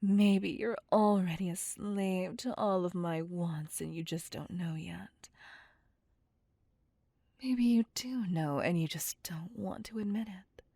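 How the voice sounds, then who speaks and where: A woman plays a possessive female vampire in an ASMR roleplay. She speaks slowly and breathily, close and dry, slipping between smug commands and teasing whispers. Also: fairly low pitch, creaky, warm tone, dry recording, full character voice. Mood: smug, whispering. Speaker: voice actor.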